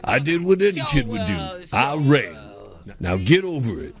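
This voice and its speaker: A man's voice: medium pitch, big pitch swings, moderate pace, very noisy, lightly acted. A man playing an irritable middle-aged cartoon ringleader who bickers in a sarcastic, folksy way. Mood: defensive.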